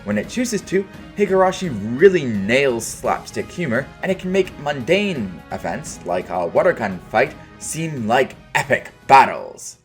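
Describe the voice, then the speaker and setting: A man's voice: medium pitch, sing-song, unhurried, few pauses. Guy reading a scripted review, casual and a bit dry, voice moves up and down a lot, music underneath. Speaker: video game reviewer.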